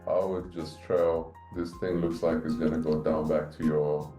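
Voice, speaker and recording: A man's voice: a bass voice, flat delivery, moderate pace, mellow, soft background music. A man joining a live trading stream, casually talking through how he manages his trades. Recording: echoey room sound.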